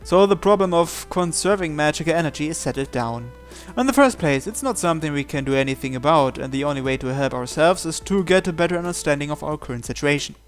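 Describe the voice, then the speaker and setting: A man's voice: on the high side, lively intonation, fast talker, few pauses, soft background music. A man reading visual novel narration aloud as he plays, with casual asides. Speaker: video game player reading along.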